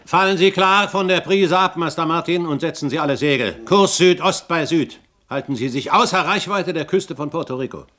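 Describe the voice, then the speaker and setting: An actor playing an eloquent, composed and authoritative older pirate captain, a cold-blooded gentleman, in a German radio play. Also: a little high, moderate pace, hardly any pauses, close to an everyday voice. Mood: brisk command. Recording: noisy 1970s radio production.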